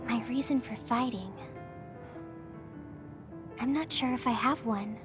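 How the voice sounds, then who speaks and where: A woman's voice: a little high, slow pace, over music. An actress playing a cheerful but self-doubting, earnest teenage girl soldier in a video game. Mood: troubled, downcast. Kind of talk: English video game voice acting.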